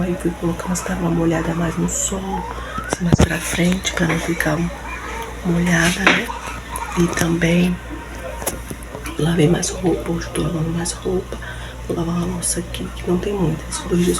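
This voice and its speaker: A Brazilian woman with a hoarse, gravelly voice chats loosely with her viewers and keeps checking in with them. Her delivery stays flat and even. A loud washing machine and clattering dishes fill the background of her home. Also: on the low side. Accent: Brazilian Portuguese. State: hoarse from a recent flu. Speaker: homemaker vlogger. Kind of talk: casual chat to viewers. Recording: loud household noise, washer and clattering dishes.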